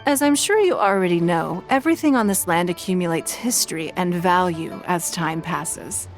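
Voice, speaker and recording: An actress playing a poised, authoritative noblewoman who leads a city, speaking in a composed, measured and formal way. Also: on the low side, soft background music, close to an everyday voice. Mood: calm. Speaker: city leader. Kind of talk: video game voice acting.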